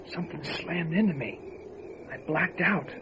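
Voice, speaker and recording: A man's voice: a little high, lively intonation, unhurried. An actor playing an alert, urgent lawman in an old-time radio horror drama, with a dramatic, clipped delivery. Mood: shaken. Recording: noisy, dull lo-fi radio recording.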